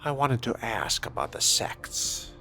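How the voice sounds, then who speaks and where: A man reading a fledgling vampire's questions in scripted role-play dialogue, in a measured, questioning manner. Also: mid-range voice, big pitch swings, pushed and bright, faint music behind.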